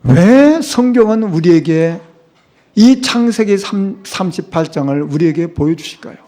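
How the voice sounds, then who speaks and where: A man's voice: high-pitched, animated, measured pace, warm tone. A middle-aged man preaching a sermon as a pastor, speaking emphatically and asking the congregation rhetorical questions. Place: church sanctuary.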